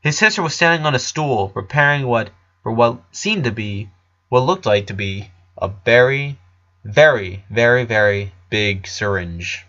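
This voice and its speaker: A man narrates a written story in a bright, forceful voice at medium pitch. His reading is halting, with occasional stumbles and repeats. The recording sounds a bit dull and lo-fi. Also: animated. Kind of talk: scripted fan fiction narration.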